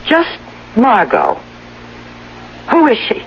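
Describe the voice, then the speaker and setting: Frail, anxious wife, swooping emotional delivery. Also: female voice, fairly high pitch, huge pitch swings, slow pace, vocal fry, heavily acted. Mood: suspicious. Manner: emotional, pleading, self-pitying. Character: frail, anxious invalid wife, loving and suspicious. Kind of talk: radio drama acting. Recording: muffled, hissy old radio broadcast.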